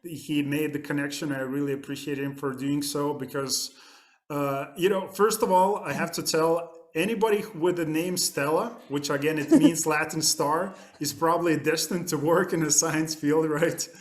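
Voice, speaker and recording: A man's voice: on the high side, fairly even intonation, normal speed. A man hosting a podcast, introducing his guest eagerly and asking rambling questions full of fillers. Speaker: podcast host. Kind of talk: casual interview hosting.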